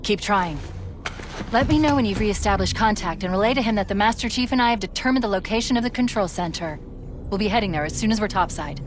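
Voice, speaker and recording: Casting: woman to voice female military AI for a sci-fi game, articulate, confident, analytical, mid pitch. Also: lively intonation, fast talker, noisy background, natural voice. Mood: composed, briefing. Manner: crisp, articulate, briefing-like delivery. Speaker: tactical AI companion. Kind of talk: video game cutscene acting.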